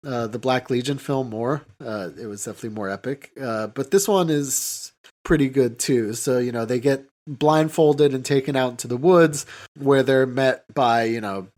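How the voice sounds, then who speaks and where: A man's voice: mid-range voice, moderate pace, a little mellow. A man hosting a podcast, talking casually about films in a hesitant, rambling way with lots of "you know". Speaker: podcast host. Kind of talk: casual film discussion.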